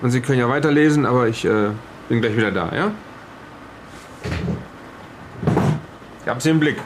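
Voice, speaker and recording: A man's voice: medium pitch, very fast, lightly acted. A man plays a suspicious officer over sailors in an audio drama, in a mid-range voice. He rambles and stammers, officious but flustered, often ending on a questioning tag. Some noise runs behind. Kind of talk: improvised in-character audio drama dialogue.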